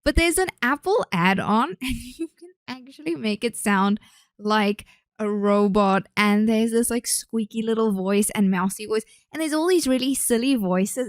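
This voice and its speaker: A woman's voice: mid-range voice, dry recording, clean studio sound. A young Australian woman hosting a casual solo podcast as a speech therapist, chatty and rambling with many fillers. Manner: chatty, rambling, with frequent fillers and self-corrections.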